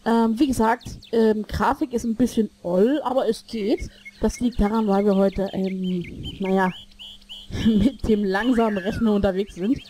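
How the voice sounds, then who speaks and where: A woman's voice: medium pitch, fairly even intonation, measured pace, a little hiss or hum. A woman casually commenting on an online game she is playing, a bit sick and short of breath, rambling and correcting herself with jokes. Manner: rambling, self-correcting, joking. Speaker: gameplay streamer. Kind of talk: casual let's play commentary. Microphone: desk microphone in a new recording room. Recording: voice over loud game music.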